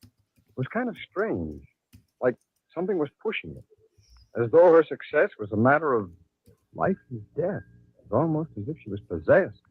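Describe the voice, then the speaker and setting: Worried, weary man, husky, grave 1950s radio drama delivery, muffled recording with music behind. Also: on the high side, very animated, moderate pace, long pauses, no room sound. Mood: uneasy, grave.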